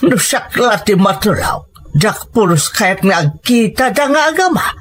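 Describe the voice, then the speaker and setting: A woman's voice: mid-range voice, sing-song, moderate pace, soft background music. A woman voicing a stern, resentful elderly grandfather who scolds indignantly in a dramatic family story. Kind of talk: dramatic Ilocano radio-style storytelling.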